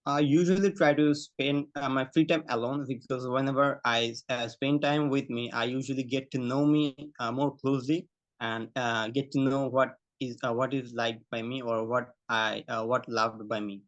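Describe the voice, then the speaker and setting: A man's voice: medium pitch, fairly even intonation, moderate pace, dry recording. A young man from Bangladesh with a Bangladeshi accent, answering exam questions over a video call with many fillers and restarts. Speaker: test candidate. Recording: slightly muffled video call audio.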